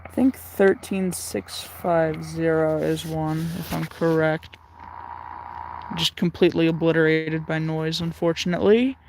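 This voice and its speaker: A man's voice: fairly high pitch, level tone, measured pace, slight background noise. A man hosting a casual livestream, thinking aloud with many fillers as he tunes a radio. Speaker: livestream host tuning a shortwave radio. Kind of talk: casual live commentary.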